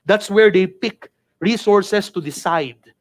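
Filipino guy doing a motivational training talk, pretty high voice, really urging and emphatic, lots of rhetorical questions. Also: takes it slowly. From Philippines. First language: Filipino (Tagalog). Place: indoor studio with virtual backdrop. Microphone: headset mic.

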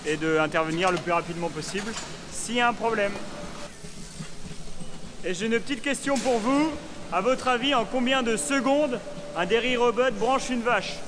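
A man's voice: extremely high pitch, moderate pace, long pauses, background noise. A young man in his twenties giving a chatty, enthusiastic farm tour vlog, filmed on a handheld action camera in a large barn. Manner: chatty, enthusiastic, frequent fillers. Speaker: farm vlogger. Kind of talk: casual vlog walkthrough. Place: large barn and milking parlour, indoors. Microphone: action camera held at arm's length.

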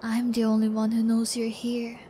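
A woman acting a sadistic young female captor in an ASMR audio roleplay, taunting and gloating with drawn-out, cruel menace. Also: fairly high pitch, monotone, dark tone, slight background noise, lightly acted. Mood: mocking menace.

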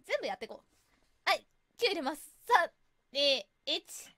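A woman's voice: high voice, very animated, normal speed, sharp tone, dry recording, clean studio sound. A woman with a girlish voice, chatting casually as she directs a voice drama rehearsal.

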